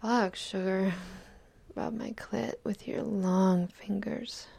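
A young adult woman performing an intimate audio roleplay, speaking mostly in a soft, teasing whisper. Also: mid-range voice, steady tone, slow, vocal fry, husky.

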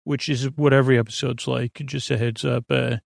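A man hosting a sleep podcast with a creaky voice, rambling in a soothing, meandering, silly way and fumbling his words as he improvises. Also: mid-range voice, flat delivery, brisk, no echo, studio quality. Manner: soothing, lulling. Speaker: podcast host.

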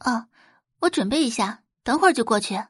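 A woman's voice: fairly high pitch, animated, fairly quick, a bit bright, husky, no room sound, studio quality. A woman voicing a confident, disdainful eighteen-year-old daughter in an audio drama, composed and sure of herself.

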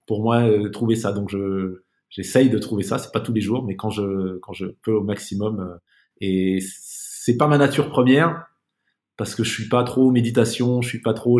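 Male podcast guest, lowish voice, reflective and conversational, gropes for words with frequent repeats. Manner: hesitant, searching for words, repeats words. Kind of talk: casual reflective interview conversation.

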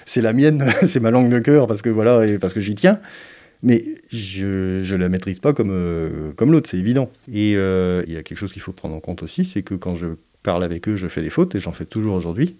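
A man giving an interview as a parent, speaking in a hesitant, thoughtful way with frequent pauses and fillers. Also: lowish voice, expressive, mellow. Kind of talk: reflective interview testimony.

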